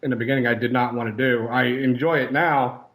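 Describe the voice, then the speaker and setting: A man's voice: medium pitch, steady tone, a little fast, a bit bright. A man who writes comedy and voices a character, answering interview questions in a rambling, self-deprecating way with frequent restarts. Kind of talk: casual interview chat.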